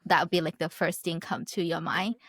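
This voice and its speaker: A woman's voice: fairly low pitch, steady tone, brisk, fairly bright tone, breathy edge, dry recording, studio quality. A woman whose first language is Thai, a guest talking casually with many hesitant fillers. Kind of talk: casual conversation.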